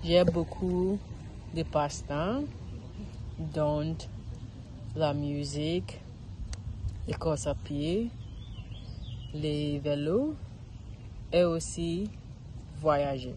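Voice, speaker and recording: Slow, halting woman outdoors. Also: low voice, warm tone, slight background noise, rough recording. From Ghana. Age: 30s. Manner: halting, searching for words, frequent restarts. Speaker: vlogger learning French. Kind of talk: casual self-filmed practice monologue. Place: outdoors in a park.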